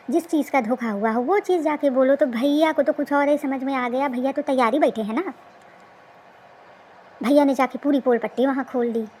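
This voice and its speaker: A woman's voice: sits high, darkish tone, a little hiss or hum. A woman giving casual, colloquial gossip commentary in a teasing, exclamatory way with lots of rhetorical questions. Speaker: reaction video host. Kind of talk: casual gossip commentary on a social media dispute.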